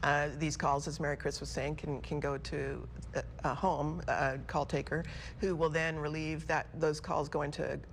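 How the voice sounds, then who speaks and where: A gravelly, mid-pitched voice of a sheriff's office official giving measured explanations in an interview. There is faint background noise behind the speech. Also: little pitch movement. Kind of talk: unscripted broadcast interview answers.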